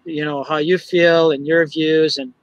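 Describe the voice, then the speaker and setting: A man hosting a podcast, framing his questions in a halting way with many restarts. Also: fairly high pitch, level tone, no room sound, muffled recording. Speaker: podcast host. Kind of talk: casual interview questions.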